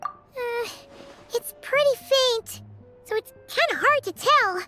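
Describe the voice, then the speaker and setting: Game companion: small childlike fairy girl, extremely high and lively, exclamatory, over music. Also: slow, exaggerated voice. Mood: curious. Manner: speaks of self in third person, exclaiming. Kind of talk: video game voice acting.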